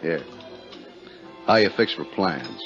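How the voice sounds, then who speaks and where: An actor playing an agreeable, laconic colleague out drinking with a friend in a 1950s radio drama. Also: male voice, rumbling low, expressive, takes it slowly, gravelly, full character voice. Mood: easygoing. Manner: casual, laconic. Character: easygoing, agreeable male colleague and drinking buddy. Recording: dull 1950s radio, loud music behind.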